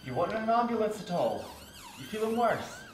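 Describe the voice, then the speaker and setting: A young man with a Scottish accent performing a multi-voiced verbatim spoken word piece, switching between overheard voices on a dark theatre stage. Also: sits high, wildly swooping pitch, moderate pace, roomy sound, noisy background.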